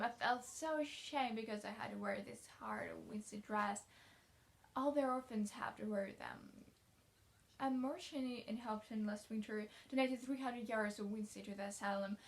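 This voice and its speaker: A woman's voice: a little high, close to an everyday voice. A woman voicing a talkative, imaginative young orphan girl from a storybook, effusive and dreamy.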